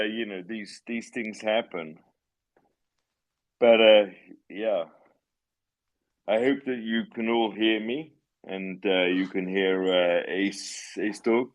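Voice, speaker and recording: A man hosting a live online audio chat, halting and apologetic, full of restarts and fillers, with a wry streak. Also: lowish voice. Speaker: AMA host. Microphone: online audio call.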